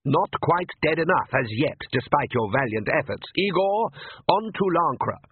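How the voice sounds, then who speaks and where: A genteel vampire father, as a man plays him, soothing and parental with a hint of suppressed annoyance. His voice is husky and lively, recorded close and dry with a tinny, narrow band. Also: on the high side, a little slow, voice pushed up, exaggerated voice. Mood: placating, dry. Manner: parental, soothing, with repressed irritation. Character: conciliatory, patient aristocratic vampire father.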